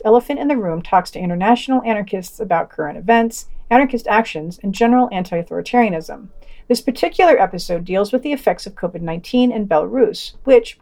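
A woman's voice: mid-range voice, darkish tone. A woman presenting a podcast, reading scripted reviews with wry, informal, opinionated asides.

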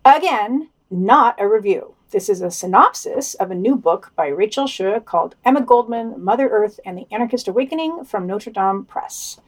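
A woman's voice: mid-range voice, lively intonation, moderate pace, hardly any pauses. A woman reading scripted podcast news items and adding short asides of commentary.

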